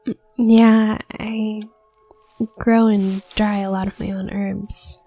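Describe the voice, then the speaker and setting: Shy student witch, played by a woman: young, soft-spoken, nervous stutter, unhurried, slightly creaky voice, for an intimate audio roleplay. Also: mid-range voice, level tone, faint music behind. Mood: nervous, flustered. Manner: nervous stutter, trailing off, hesitant.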